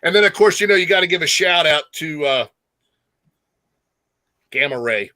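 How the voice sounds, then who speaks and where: Male co-host for casual music talk: forceful, close and dry sound, halting but keen fan delivery. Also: on the high side, lively intonation, dry recording. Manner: enthusiastic, halting, slangy. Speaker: guest co-host, metal fan.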